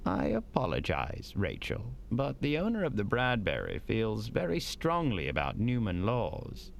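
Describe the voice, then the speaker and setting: A man's voice: lowish voice, wildly swooping pitch, a little slow, gravelly, a little hiss or hum, exaggerated voice. A man playing a formal, defensive hotel manager in an audio drama, speaking with polite, officious stiffness. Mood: politely defensive. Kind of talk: dramatic audio fiction acting.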